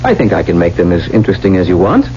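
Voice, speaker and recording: Intense young gambler, wildly swooping voice. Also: male voice, low-pitched, wildly swooping pitch, racing, a little mellow, slight background noise. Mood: tense, daring. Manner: intense, theatrical, commanding. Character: desperate, headstrong young male lover turned card-playing gambler. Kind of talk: 1970s radio drama acting. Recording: dull 1970s radio recording, music behind.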